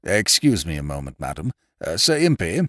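Storybook role: elderly family lawyer played by a male narrator, courteous, fussy, formal, very lively intonation. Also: low voice, moderate pace, no room sound, clean studio sound, full character voice. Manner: formal, reproving, polite.

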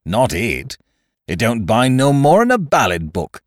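A man voicing a cunning, domineering pirate sea-cook in an audiobook, with rough sailor dialect and a forceful, taunting manner. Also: fairly low pitch, very animated, slow, no echo, clean studio sound. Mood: wry, derisive. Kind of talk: audiobook character voice.

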